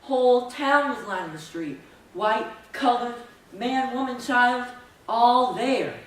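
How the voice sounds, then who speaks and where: A woman's voice: on the high side, animated, slow. A woman playing a wide-eyed, churchgoing townsperson telling a story on stage, recorded from far off in an echoey space. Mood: eager, wondering. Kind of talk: theatrical storytelling monologue, readers theater. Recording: echoey stage, distant, faint noise.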